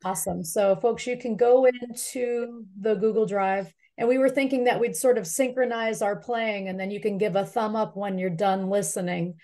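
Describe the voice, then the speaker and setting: A woman's voice: medium pitch, steady tone, normal speed, hardly any pauses, mellow. A woman giving casual instructions as co-host of a video call session.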